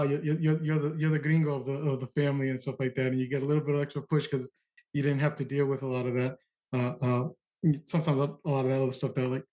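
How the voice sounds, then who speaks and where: A male podcast host speaks at a medium pitch and asks long, winding questions. He hesitates and restarts often as he sets up each topic for his guest. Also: a little mellow, rough recording. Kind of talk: casual interview questions.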